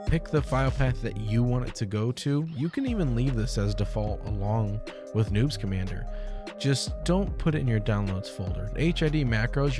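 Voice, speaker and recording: A young man explains things step by step in a casual, plain-spoken and reassuring way, close on a studio microphone. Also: medium pitch. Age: young adult. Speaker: streamer giving a software tutorial. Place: small room at home, indoors. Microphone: close studio mic on a boom arm. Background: background music.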